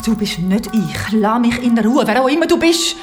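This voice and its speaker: Actress as a high-pitched, desperate trapped woman. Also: animated, normal speed, natural voice. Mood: desperate, panicked. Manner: urgent, exclamatory. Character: frightened, desperate woman trapped inside a mirror. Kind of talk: German-language horror audio drama acting. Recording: music behind the voice.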